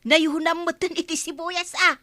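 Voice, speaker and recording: A woman's voice: very high voice, steady tone, a little slow, noisy background. A woman acting a nosy, complaining neighbourhood gossip in an Ilocano radio drama, chatty and full of exclamations. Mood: wheedling.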